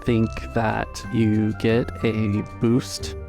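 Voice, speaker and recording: A man's voice: on the low side, monotone, very slow, creaky, music in the background. A man acting as game master, casually narrating and refereeing a tabletop roleplaying game.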